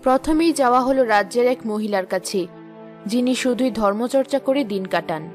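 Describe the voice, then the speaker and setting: Woman narrator reading a fairy tale, voice a bit high, soft music behind. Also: animated, brisk. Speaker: story narrator. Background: background music.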